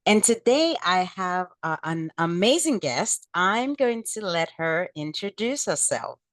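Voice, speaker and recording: Woman host, measured interviewer, dry close sound. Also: fairly low pitch, very animated, no room sound. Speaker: podcast host, physician. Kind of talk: podcast interview hosting.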